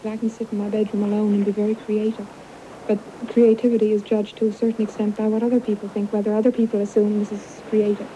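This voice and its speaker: Woman with a very dark, mellow voice. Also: mid-range voice, monotone, fast, lo-fi sound, slight background noise. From Newfoundland, Canada. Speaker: interviewee. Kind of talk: reflective interview talk.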